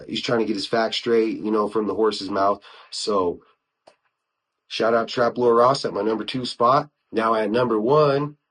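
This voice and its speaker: An American man from Wyoming hosting a solo podcast, talking informally with slang and frequent conversational asides. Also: on the low side. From United States (Wyoming). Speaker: podcast host. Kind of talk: casual solo podcast talk, reviewing YouTube channels.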